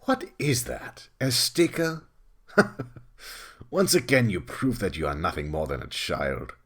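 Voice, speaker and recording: A man's voice: on the low side, wildly swooping pitch, slow, fairly bright tone, breathy edge, heavily acted. A man playing a haughty, sneering prosecutor in a courtroom audio drama, condescending and mocking.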